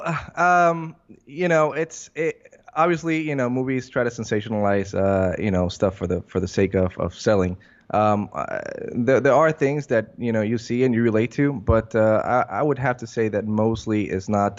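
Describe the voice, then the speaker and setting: A man with a lowish voice gives unscripted interview answers. He talks haltingly, feeling his way through sentences with many fillers. The sound is somewhat dull and muffled. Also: fairly even intonation. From Puerto Rico. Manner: halting, hesitant, filler-heavy phrasing. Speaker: interview guest, air traffic controller. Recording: muffled lo-fi recording.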